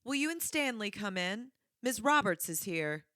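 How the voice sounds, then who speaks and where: A well-mannered young lady of the house, played by a woman in an old radio drama. She is courteous and conversational, pitched a little above the performer's everyday voice. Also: sing-song, normal speed, a bit bright, dry recording, clean studio sound. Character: polite young daughter welcoming a guest, Miss Douglas.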